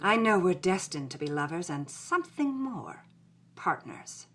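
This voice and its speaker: A woman reads as an ambitious, smitten film actress confiding in her journal. Her delivery is starry-eyed and very expressive. Clear music plays behind her. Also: fairly low pitch, measured pace. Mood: admiring, smitten.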